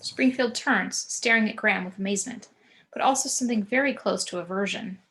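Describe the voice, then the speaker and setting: A woman's voice: mid-range voice, fairly quick, fairly bright tone. A woman narrating scene directions for a screenplay table read, occasionally stumbling over words.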